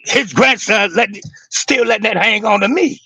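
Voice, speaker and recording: A man with a raspy, gravelly voice that sits high and sounds bright and tense. He talks with intense emphasis and swears freely, and the sound is dry and close. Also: moderate pace, no room sound. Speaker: guest on a live stream. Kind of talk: casual livestream talk.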